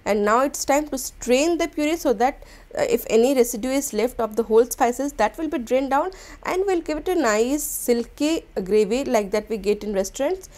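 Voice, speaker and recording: A woman, hosting a cooking channel and explaining a recipe step by step in a gentle, instructional voice-over. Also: high-pitched, lively intonation, moderate pace. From India.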